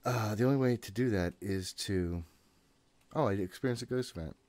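A man's voice: on the low side, normal speed, darkish tone, dry recording. A man in his thirties giving casual, jittery, profane gameplay commentary, often talking to himself. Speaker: gaming host. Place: room at home.

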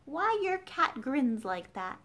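A woman's voice: high voice, sing-song, takes it slowly, a bit bright, pitched above the performer's own voice, exaggerated voice. A woman reading a storybook aloud as a curious, polite young girl who is easily exasperated. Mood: timid, polite.

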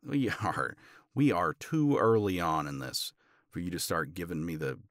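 A man playing a tender, teasing older boyfriend in an intimate romance ASMR roleplay, murmuring in a halting, playful way. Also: sits low, fairly bright tone, no echo, studio quality. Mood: playful exasperation. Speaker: audio roleplay voice actor.

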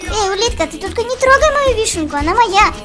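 A woman's voice: very high voice, lively intonation, fast. A woman hosting a children's let's play with childlike exclamations, voicing the game's characters as she comments. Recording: music behind the voice. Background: music.

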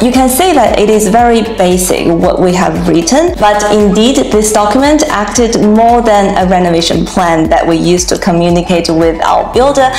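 Woman explaining with frequent "um"s. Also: mid-range voice. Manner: steady and explanatory, frequent "um" fillers. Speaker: home renovation vlogger. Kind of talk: explaining a renovation plan to camera. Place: bright home kitchen, indoors. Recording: echoey room with faint music behind.

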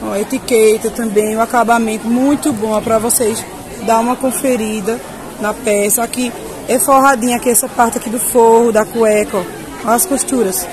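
A woman's voice: fairly high pitch, fairly even intonation, normal speed, low-quality recording. A woman with a Northeastern Brazilian accent chattily presenting goods to viewers from behind a handheld camera in a covered market. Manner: chatty, addressing viewers with repeated tag questions. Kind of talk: informal product showcase. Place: covered open-sided clothing market. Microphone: handheld camera, speaker behind it. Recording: busy crowd noise of covered market.

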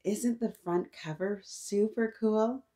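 A woman in her thirties reading aloud to young children, animated and encouraging, often addressing them directly with questions. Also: medium pitch, slow pace. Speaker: children's story-time host. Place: small decorated indoor room.

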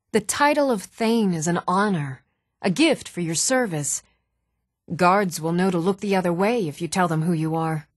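An earnest woman from a fantasy video game, played by an actress, speaking in an even-toned voice of medium pitch. The sound is dry, with no room around the lines. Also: dry recording, natural voice. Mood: formal, dutiful. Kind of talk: video game character dialogue.

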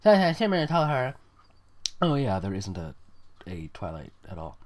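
A man's voice: lowish voice, huge pitch swings, moderate pace, a little mellow. A man reading fan fiction aloud in a mocking, amused way, with sarcastic asides.

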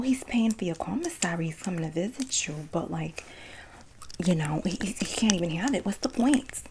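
A young woman plays a sassy prison diva in an ASMR roleplay, whispering and murmuring close up with a creaky edge. She talks in streetwise slang and sweet, pointed endearments, comforting and bossy at once. There is clear noise behind the close voice. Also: on the low side. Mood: sassy, gossipy. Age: young adult. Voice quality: breathy whisper. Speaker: ASMR creator acting a roleplay.

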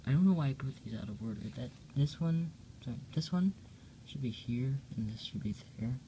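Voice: mid-range voice, lively intonation, fairly quick, warm tone, a little hiss or hum, rough recording. A person reading a comic aloud casually, with hesitant, self-correcting and apologetic asides, recorded on a handheld device at home. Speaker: storyteller reading own hand-drawn comic. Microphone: handheld phone or camera pointed at pages.

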